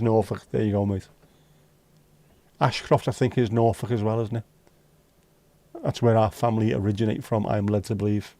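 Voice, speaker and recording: A man's voice: medium pitch, mellow. A man hosting a casual livestream, reading out chat messages and teasing viewers with jokey, lightly sweary banter. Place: home livestream setup.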